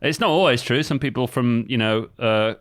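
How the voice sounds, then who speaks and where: A man's voice: medium pitch, normal speed, fairly bright tone, no echo. Easygoing guy on a podcast, talks things through with lots of "you know", dry mic. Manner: easygoing, explanatory, many fillers. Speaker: podcast co-host. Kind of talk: casual chat.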